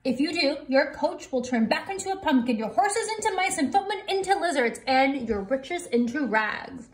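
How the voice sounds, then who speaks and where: A woman's voice: sits high, lively intonation, normal speed, pitched above the performer's own voice. An American woman voicing a kindly, commanding fairy godmother from a storybook, instructive and firm. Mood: firm warning.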